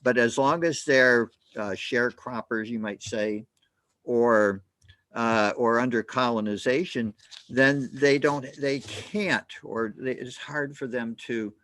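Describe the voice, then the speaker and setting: A man moderating a talk, speaking in a halting, hesitant way with many restarts. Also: mid-range voice, steady tone, a bit bright, dry recording. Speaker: host.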